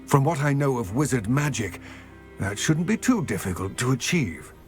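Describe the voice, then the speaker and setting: An actor playing a proud, mistrustful male goblin in a video game, speaking in formal, measured, precise sentences. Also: mid-range voice, very animated, lightly acted. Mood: measured, cautious. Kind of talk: video game cutscene acting. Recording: music behind the voice.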